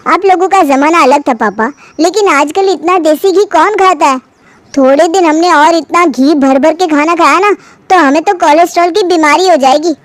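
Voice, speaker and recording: A woman's voice: very high voice, moderate pace, dry recording. A woman voicing a fussy, health-conscious young adult son who protests to his parents. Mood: protesting.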